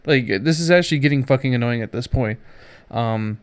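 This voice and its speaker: A man's voice: medium pitch, a little fast, smooth. A man hosting a casual pop culture news podcast, emphatic, sarcastic and given to swearing. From Canada. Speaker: podcast host.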